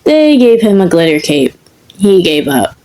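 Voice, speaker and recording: A woman reading comic fan fiction as scripted narration, in a measured, deliberate manner. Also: on the low side, sing-song, dark tone. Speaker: podfic reader.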